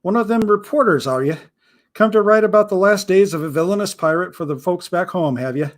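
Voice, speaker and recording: A man voicing a gruff, wary old male pirate with a rumbling baritone and a salty pirate-style accent, calling everyone lad. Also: animated, fairly quick. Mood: gruff, wry. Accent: pirate-style rustic accent.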